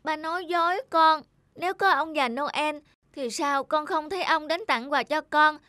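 An actor voicing an earnest, pleading little boy in an animated children's story, speaking in an insistent, childlike way. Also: high voice, normal speed, no room sound. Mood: hurt, accusing.

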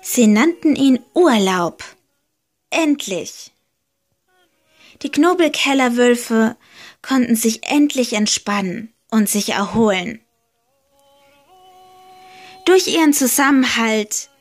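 A woman reading a short homemade fairy tale aloud, slow and deliberate, leaning gently into key words. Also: on the high side. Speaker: storyteller. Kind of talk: slow storybook narration.

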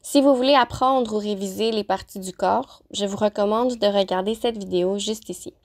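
Woman teaching slowly. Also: mid-range voice. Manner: slow, carefully articulated example phrases. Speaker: French-as-a-second-language teacher. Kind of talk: clear, deliberate vocabulary lesson narration.

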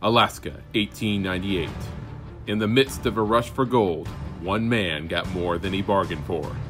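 A man's voice: sits low, normal speed, a bit bright, faint music behind. A man delivering dramatic scripted narration in the style of documentaries and film trailers. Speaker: voice actor.

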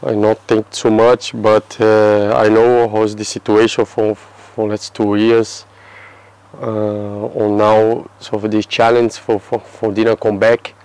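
A man in his thirties from Brazil, answering interview questions in halting English with a Brazilian Portuguese accent and many restarts. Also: fairly low pitch, monotone, darkish tone, smooth, low-quality recording. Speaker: football player. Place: outdoor terrace by a yellow building.

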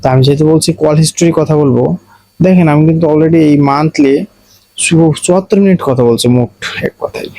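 Bangladeshi guy doing a tech how-to, soft mellow tone, dry close sound, explains stuff step by step to viewers. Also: fairly high pitch, fast talker, no room sound, poor audio. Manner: repetitive, explanatory, addresses viewers directly. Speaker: tech tutorial host. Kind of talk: casual step-by-step phone tutorial narration.

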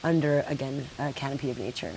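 A woman's voice: a bass voice, fast, noisy background. A woman in her thirties showing guests around her home in flowing, enthusiastic casual talk, full of "sort of" and "like". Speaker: homeowner giving a house tour. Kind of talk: casual tour chat. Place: indoor house rooms.

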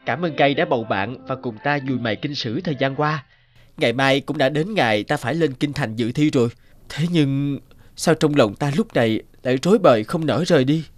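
A man voicing a gentle, lovesick young scholar in a cartoon folk tale, tender and earnest. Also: mid-range voice, a little fast, lightly acted. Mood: wistful.

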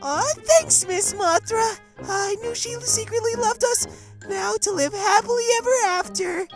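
A man's voice: extremely high pitch, a little slow, loud music behind the voice, voice pushed up. A man voicing a naive, sweet, childlike male dragon head in a comic dub, innocent and hesitant. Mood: adoring.

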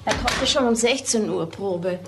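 An older, possessive mother with a grown son, proud and guarded. It is radio crime drama, with clear noise in the recording. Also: on the high side, a little slow.

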